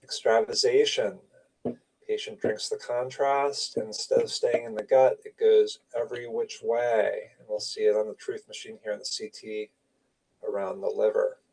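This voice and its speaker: A man with a lowish, creaky voice teaches radiology in an informal lecture style. He talks through slides in short, conversational phrases. He sounds some distance from the microphone. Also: level tone, normal speed, a little mellow.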